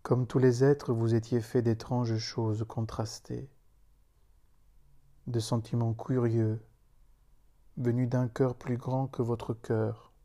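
Man, solemn poetry recitation, very soft dark timbre, unhurried pace, grave, meditative, long pauses. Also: medium pitch, little pitch movement. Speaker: meditation leader reciting own poem.

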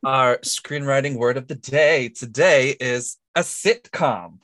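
A man's voice: medium pitch, slow pace, a bit bright, dry recording, studio quality. A man co-hosting a podcast, explaining things in a casual, jokey, conversational way. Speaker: podcast co-host.

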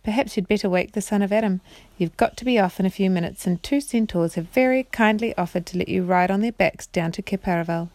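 A woman's voice: mid-range voice, fast, darkish tone, no echo, lightly acted. A woman reading the part of a courteous, earnest male fawn with a polite, formal way of talking. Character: courteous male fawn, helpful messenger with goaty hooves.

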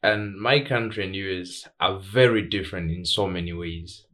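A man's voice: sits low, normal speed, a bit bright. A man with a Tanzanian accent hosting a podcast in an informal, slangy, casual interview style. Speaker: podcast host.

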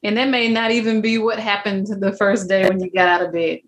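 A woman's voice: medium pitch, little pitch movement, a little fast, rough recording. A woman hosting an interview, speaking reflectively and smiling as she talks. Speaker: host. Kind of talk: conversational interview.

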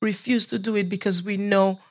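A woman's voice: medium pitch, fairly even intonation, brisk, a little mellow. A middle-aged woman with a mid-range voice guests on a podcast panel. She argues her view firmly and earnestly, drawing on her own life. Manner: firm, earnest, speaks from personal experience. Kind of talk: casual panel chat.

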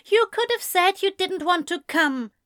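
A woman voicing a tense, sharp-tongued young man who snaps back in clipped retorts. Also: very high-pitched, animated, normal speed, pitched above the performer's own voice. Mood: snapping.

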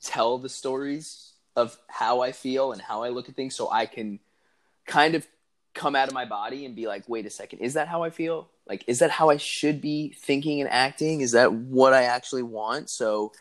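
A man's voice: medium pitch, normal speed. A man around thirty, a podcast guest, talking earnestly and reflectively in casual chat with lots of fillers. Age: 30s.

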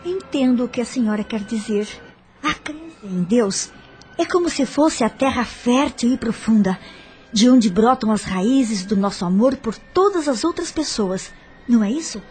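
Actress as troubled widow, lightly high pitch, earnest, respectful, pleading, radio soap, faint music. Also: female voice, expressive, normal speed, a little mellow, lightly acted. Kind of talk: radio soap opera acting.

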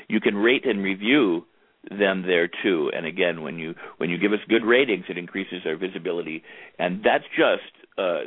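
A man with a low, deep voice hosts an internet talk radio show. He chats in a relaxed, easygoing way. The sound is tinny and phone-like, with no room echo at all. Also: slightly rough. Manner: chatty, easygoing, plugs the show. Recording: tinny phone-like line, dry.

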